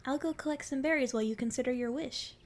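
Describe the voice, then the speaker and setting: Woman voicing a high-pitched, sweet forest fairy. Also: fast, lightly acted. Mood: gently cautioning.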